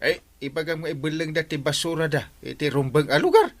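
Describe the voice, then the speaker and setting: A man acting an excitable, bossy, folksy village captain in an Ilocano comedy radio drama, with an Ilocano accent and exclamatory delivery. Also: a little high. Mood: matter-of-fact instructing. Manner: exclamatory, starts phrases with drawn-out interjections. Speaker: lead comic character.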